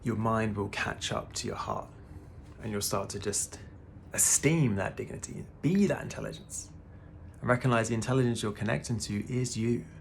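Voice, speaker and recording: A man's voice: lowish voice, moderate pace, creaky, a little hiss or hum. A man in his thirties giving a casual, laid-back spiritual talk to the camera, often calling the listener "man" and swearing now and then. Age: 30s. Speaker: spiritual vlogger.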